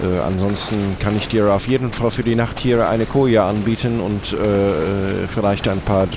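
Male actor as grave, helpful crewman, lowish voice, halting improvised audio-play delivery, watery noise behind. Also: fairly even intonation, lightly acted. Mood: grave, earnest.

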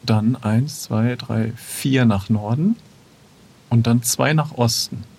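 A man's voice: mid-range voice, takes it slowly, creaky, a little mellow, slightly rough. A man role-playing casually as a player in an improvised adventure, speaking in a hesitant, inquisitive way.

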